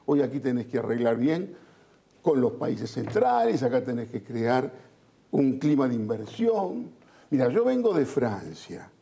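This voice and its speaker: A man's voice: mid-range voice, very animated, unhurried, creaky, warm tone, slightly rough. A man with an Argentine Rioplatense accent giving blunt, emphatic, colloquial political opinions as an interview guest. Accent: Argentine Rioplatense Spanish.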